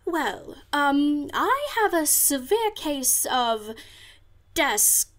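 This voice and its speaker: A woman's voice: high voice, big pitch swings, drawn-out words, fairly bright tone, exaggerated voice. A woman voicing a prim pony radio co-host, an improvised stand-in for an absent friend, in a comic audio drama. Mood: prim, halting.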